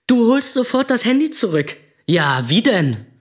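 A man's voice: very high voice, big pitch swings, measured pace, exaggerated voice. A young man playing a stubborn, hot-tempered boyfriend telling his side in a radio drama. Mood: defensive, angry. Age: young adult.

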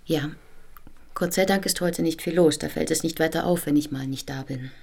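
A woman's voice: low voice, normal speed, vocal fry, darkish tone. An actress in a radio drama playing a chatty female visitor in an informal, conversational manner. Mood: chatty, amused. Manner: conversational, anecdotal. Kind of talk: German radio drama dialogue.

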